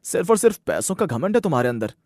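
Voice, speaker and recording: A man's voice: on the high side, sing-song, racing, darkish tone, no room sound, clean studio sound, pitched above the performer's own voice. A man voicing an earnest, dutiful grown son and exasperated husband, speaking emphatically in a Hindi moral story cartoon. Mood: exasperated, angry.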